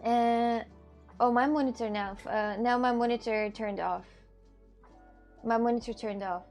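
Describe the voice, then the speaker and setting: A woman's voice: on the high side, fairly even intonation, measured pace, darkish tone, soft background music. A woman from Russia with a Russian accent, streaming in casual chat, arguing and breaking into disbelieving laughs and cries.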